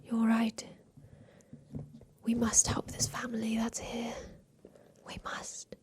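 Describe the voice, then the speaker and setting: A woman playing an aging, wistful inventor confined in a haunted attic, whispering softly and confidingly in an ASMR roleplay about revenge. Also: on the high side, completely flat delivery. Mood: resolute, wistful. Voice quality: soft breathy whisper. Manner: slow, wistful, confiding, halting.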